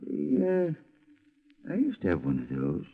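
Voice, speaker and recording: Through a tinny old radio recording comes an aging night watchman, uneasy and humble. His low voice rises and dips as he stumbles haltingly through a vintage detective drama. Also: huge pitch swings, slightly rough, full character voice. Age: older. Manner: halting, hesitant, stammering.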